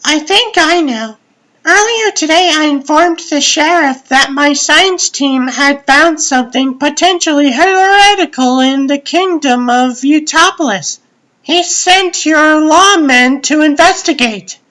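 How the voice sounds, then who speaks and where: Young genius scientist played by a woman, very high voice, slow measured delivery, clinical and sure of herself. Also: fairly bright tone, poor audio. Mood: clinical, confident. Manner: matter-of-fact, measured, analytical. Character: clinical, self-assured young female scientist, analytical and precise. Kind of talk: comic book dub, scripted dialogue.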